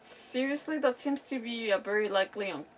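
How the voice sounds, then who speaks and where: A woman's voice: fairly high pitch, brisk, far from the mic, slight background noise. A woman chatting casually as she streams a video game. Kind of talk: casual gaming stream chat.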